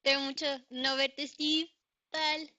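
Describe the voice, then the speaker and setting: A woman's voice: sits high, level tone, takes it slowly, bright, forceful tone. A young woman asking a question from the floor, speaking in a chatty, loose way with many filler words. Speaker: audience member. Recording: dull lo-fi sound.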